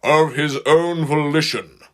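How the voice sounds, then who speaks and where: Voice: medium pitch, big pitch swings, normal speed, a bit bright, husky, heavily acted. A man puts on a big, self-important steam engine from a storybook. He is grave and stern, with a stiff, emphatic delivery that swoops as he insists. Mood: awkward insistence.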